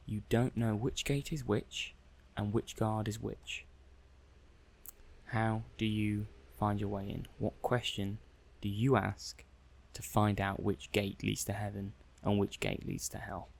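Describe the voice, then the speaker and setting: Guy playing a robot lab tech for asmr, lowish croaky male voice, soft spoken, kinda flustered, chatty and musing to himself. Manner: hesitant, musing aloud, conversational. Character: affable, slightly flustered robot-testing technician, adult man. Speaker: ASMR roleplayer.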